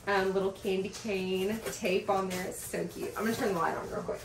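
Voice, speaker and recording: A woman in her thirties chatting in a casual vlog as she unboxes packages in a home office, gushing and excited, with lots of fillers. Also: medium pitch, brisk, far from the mic, noisy background. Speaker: vlogger. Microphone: camera across a desk. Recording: roomy sound from off the mic.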